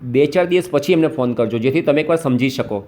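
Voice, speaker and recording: A man's voice: mid-range voice, quick pace, darkish tone, a little hiss or hum. A man on a phone call patiently giving a caller step-by-step advice. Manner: patient, instructive, explains step by step. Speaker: host advising a caller.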